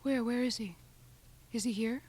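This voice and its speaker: A woman's voice: fairly high pitch, normal speed, a little mellow, breathy edge, no room sound. An actress playing a fragile, deluded older mother in a radio drama, emotional and pleading, repeating herself. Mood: anxious, pleading. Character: fragile, deluded mother confined in hospital, turning accusing. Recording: 1980 radio drama on cassette.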